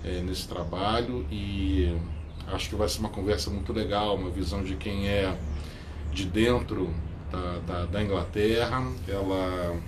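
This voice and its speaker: A man in his forties with a Brazilian accent, a native Portuguese speaker, hosting a casual online interview in a halting way with repetitions. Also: deep voice, steady tone, noisy background. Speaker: interview host. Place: home balcony.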